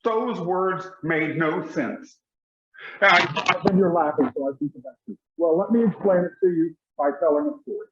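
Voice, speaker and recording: A man, giving a motivational speech with emphatic, deliberate pauses. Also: sits high, echoey room, highs cut off, background noise. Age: 40s. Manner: emphatic, slow deliberate delivery with dramatic pauses. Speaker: motivational speaker.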